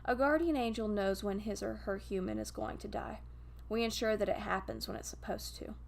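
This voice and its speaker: A gentle guardian angel voiced by a woman tells an intimate story of a beloved human. The voice has a creaky edge and a wistful, grieving temper, in the manner of an audio storybook. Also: medium pitch, brisk, a little hiss or hum, natural voice.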